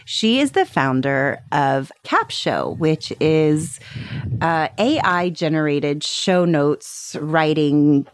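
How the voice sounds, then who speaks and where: An enthusiastic woman hosting a podcast, her pitch rising and falling with every bit of praise. The sound is close and dry, with no room around it. She gushes and laughs easily. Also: expressive, takes it slowly, no room sound. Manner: gushing, enthusiastic, laughs often. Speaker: podcast co-host. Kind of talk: upbeat podcast intro and interview.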